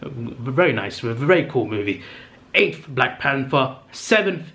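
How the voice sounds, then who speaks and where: Young guy rambling excitedly about his fave films, lots of ums and restarts, voice getting a bit worn, plain middle pitch. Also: slow pace. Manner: chatty and enthusiastic, with frequent fillers and self-corrections. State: losing his voice. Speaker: movie review channel host. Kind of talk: casual ranking monologue to camera.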